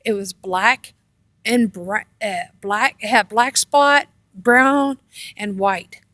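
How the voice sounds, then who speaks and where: A woman casually telling rambling personal stories in a halting, meandering way, often repeating herself. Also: medium pitch, sharp tone, no room sound. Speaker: storyteller on own video channel.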